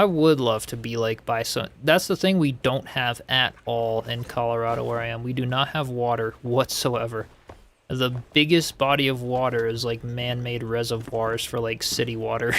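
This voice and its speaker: Male streamer, easygoing and rambling, mid-range voice, faint music underneath, reads his chat as he builds. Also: mid-range voice. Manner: relaxed, rambling, reads chat aloud, frequent likes and you-knows. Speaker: game streamer. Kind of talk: casual live-stream chat while building in a game.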